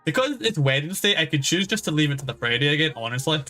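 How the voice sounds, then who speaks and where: A man's voice: a little high, big pitch swings, fast, pushed and bright. A man chatting casually as a game streamer, rambling and swearing freely as he goes. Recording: music playing behind the voice. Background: background game music.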